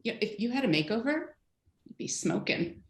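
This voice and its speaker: A woman's voice: a little high, sing-song, normal speed. Echoey, brash businesswoman played by a woman. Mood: flustered. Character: brash, foul-mouthed businesswoman under arrest. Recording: echoey, distant room on video call.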